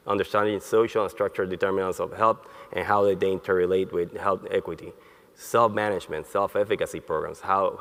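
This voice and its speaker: Low, flat-voiced man lecturing in a hall. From born in Colombia, grew up in Puerto Rico. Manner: halting, many fillers and restarts. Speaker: neurologist, conference speaker. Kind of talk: conference lecture. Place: conference hall. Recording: long hall reverb.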